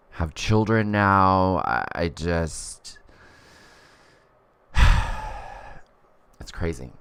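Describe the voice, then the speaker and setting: A man's voice: sits low, creaky. A man in his thirties chatting casually on a livestream, rambling as he reads viewer comments. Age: 30s. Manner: chatty and rambling, many fillers.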